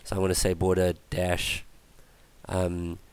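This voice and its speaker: A man's voice: sits low, monotone, measured pace, clear, resonant tone. A man teaching a coding tutorial over a screencast, thinking aloud with fillers and self-corrections. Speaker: tutorial instructor.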